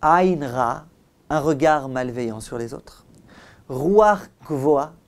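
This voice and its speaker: A man's voice: medium pitch, expressive, slow. Emphatic male rabbi teaching. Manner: emphatic, dramatic storytelling with rhetorical questions. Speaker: rabbi giving a Torah lesson. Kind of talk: religious lecture to listeners.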